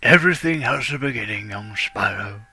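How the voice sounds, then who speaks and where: Dude doing a wise old dragon teacher, solemn, super bright tone. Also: male voice, lowish voice, lively intonation. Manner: solemn, measured. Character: old wise male dragon mentor, grave and dignified. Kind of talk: fan dramatic reading.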